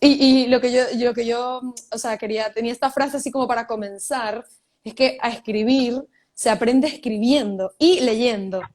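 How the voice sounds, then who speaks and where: A woman's voice: fairly high pitch, steady tone, moderate pace, darkish tone. A young woman with a Venezuelan accent, telling her story as a guest on a casual video call, chatty and laughing often. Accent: Venezuelan Spanish. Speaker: guest writer. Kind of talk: casual live video chat.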